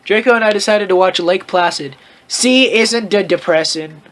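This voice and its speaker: A man's voice: high voice, moderate pace, fairly bright tone. A man hosting a comedic read-aloud of fan fiction, with theatrical, mocking asides and casual commentary.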